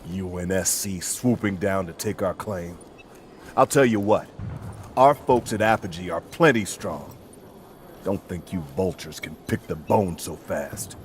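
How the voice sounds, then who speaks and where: An actor playing an injured, shaken mining colonist in a video game, halting and bitter. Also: male voice, deep voice, a little hiss or hum. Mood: bitter, defiant. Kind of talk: video game cutscene acting.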